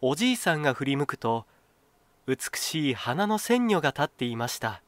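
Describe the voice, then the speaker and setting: A man reading a children's folktale as a storyteller, in an expressive, clearly phrased scripted style. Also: a little high, normal speed, dry recording.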